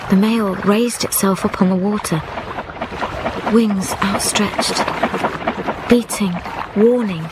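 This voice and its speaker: A woman's voice: medium pitch, steady tone, slow, pauses often, heavy background noise, close to an everyday voice. A woman playing a haunted, stubborn young widow in a radio drama, speaking intensely in short broken phrases. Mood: haunted, tense. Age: young adult. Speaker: actor and narrator in a radio drama. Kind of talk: dramatic first-person narration and dialogue. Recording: radio drama with music behind.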